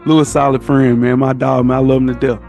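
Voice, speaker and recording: A man's voice: mid-range voice, little pitch movement, fast talker, darkish tone, muffled recording, faint music behind. An American man chatting casually as a podcast guest, in slangy talk full of "man", "bro" and "you know". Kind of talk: casual chat.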